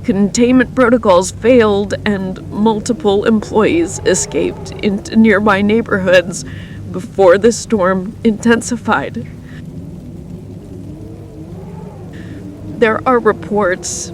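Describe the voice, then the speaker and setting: A woman playing a weary emergency radio announcer in an apocalypse radio drama, speaking in a grave, deliberate manner. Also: mid-range voice, noisy background, natural voice. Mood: grave, steady. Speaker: radio news broadcaster. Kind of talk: scripted radio drama broadcast.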